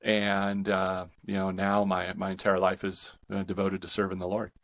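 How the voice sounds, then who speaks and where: An American man in his forties chatting casually as an interview guest, thinking aloud in a halting, enthusiastic way. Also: sits low, monotone, a bit bright. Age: 40s.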